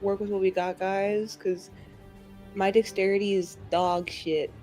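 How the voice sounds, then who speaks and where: A woman's voice: mid-range voice, little pitch movement, a little slow, mellow, faint music behind. A woman chatting casually as a player in a tabletop roleplaying game.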